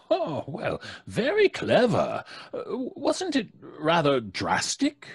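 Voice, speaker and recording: A man's voice: high-pitched, wildly swooping pitch, slow, gravelly, slightly rough, no echo, studio quality, pitched above the performer's own voice, full character voice. A man playing a polite, nervous actor trapped and growing afraid, stammering in old-time radio suspense style. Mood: uneasy, polite.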